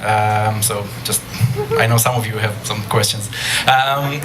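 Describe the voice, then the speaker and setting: A man's voice: on the low side, steady tone, a little slow. A man with an Israeli accent giving a live tech talk to an audience, hesitating often with ums and uhs. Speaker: speaker at a nerd talk night. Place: bar venue before an audience. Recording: noisy live room.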